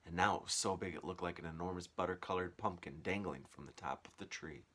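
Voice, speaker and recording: A man's voice: low voice, fast talker, bright, forceful tone. A man reading a storybook aloud like a teacher, expressively and with dramatic, varied emphasis.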